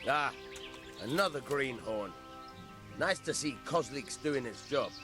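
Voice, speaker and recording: An actor playing a blunt, mocking, hard-drinking combat master in a video game drama. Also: male voice, medium pitch, expressive, unhurried, over music, natural voice. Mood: gruff mockery. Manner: jocular, blunt, sardonic.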